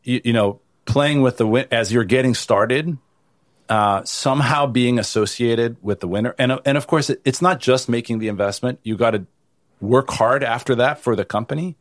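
A man's voice: medium pitch, normal speed, no echo, clean studio sound. A man born in Italy, speaking as a guest investor in an interview, casual and full of restarts. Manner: casual, frequent restarts and "you know". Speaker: venture capital investor, guest. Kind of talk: conversational interview answers.

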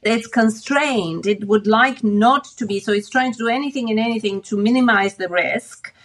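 A woman's voice: fairly high pitch, normal speed. A woman from Bulgaria speaking as an expert guest, explaining things conversationally and thinking aloud as she goes. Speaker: interview guest, expert commentator.

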